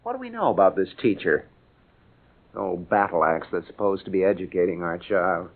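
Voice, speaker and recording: A man's voice: deep voice, very animated, moderate pace, full character voice. An actor playing an exasperated, articulate lawyer father in an old-time radio comedy-drama, with a wry, theatrical way of talking. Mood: indignant. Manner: articulate, opinionated, wryly grandiose. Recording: muffled vintage radio recording with music.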